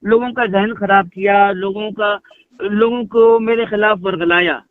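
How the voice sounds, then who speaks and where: A man's voice: high voice, steady tone, measured pace, dry recording. A man talking emphatically on a phone call, repeating phrases and posing rhetorical questions as a religious speaker. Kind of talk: phone conversation, explaining and declaring at length. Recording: muffled narrowband phone call recording.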